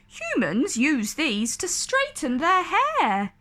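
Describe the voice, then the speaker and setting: Woman voicing an excitable high-pitched cartoon seagull. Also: slow pace, exaggerated voice. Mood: excited, knowing. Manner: exclaiming, confidently explaining. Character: excitable know-it-all male seagull.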